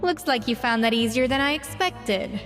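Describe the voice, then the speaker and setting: Actress as a strict confident young lady chef in a game, crisp, a bit high, echoing hall, music behind. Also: animated, normal speed, lightly acted. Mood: brisk. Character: exacting, self-assured young female head chef. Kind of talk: video game voice acting.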